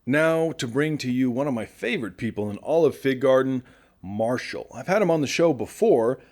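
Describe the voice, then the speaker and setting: Actor as animated post-apocalyptic radio host man. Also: medium pitch, normal speed, natural voice. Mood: breezy. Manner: conversational, animated, addressing listeners directly. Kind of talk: audio drama radio host monologue.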